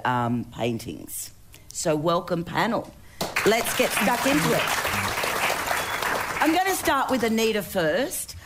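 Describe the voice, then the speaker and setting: A woman's voice: mid-range voice, a little hiss or hum. A woman with an Australian accent moderating a live panel in a conversational style.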